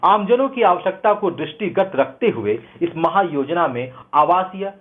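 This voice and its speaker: Thin phone-like recording, higher-pitched male news host. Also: expressive, moderate pace. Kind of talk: scripted news commentary with rhetorical questions. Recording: narrow phone-like band, thin sound.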